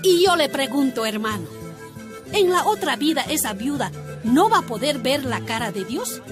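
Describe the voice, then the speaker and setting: A woman's voice: high-pitched, sing-song, normal speed, music in the background. An actress playing an outspoken, defiant working-class woman in a radio drama, arguing passionately with a Bolivian Spanish accent. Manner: passionate, argumentative, indignant.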